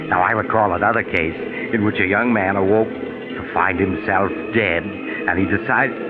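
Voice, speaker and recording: Sly macabre storyteller, deep slow American voice, darkly amused, insinuating, 1940s radio mystery, thin tinny recording over organ. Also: male voice. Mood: ominous teasing. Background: organ music.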